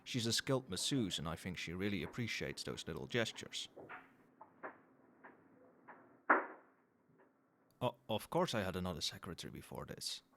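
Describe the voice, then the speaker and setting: A man playing a cold, professional company boss in an ASMR roleplay, speaking in a formal, measured, quietly demanding way. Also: low voice, expressive, a little hiss or hum. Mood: composed, businesslike. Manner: formal, measured, businesslike, faintly suggestive. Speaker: voice actor in an audio roleplay. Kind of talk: ASMR roleplay, one-sided dialogue to the listener.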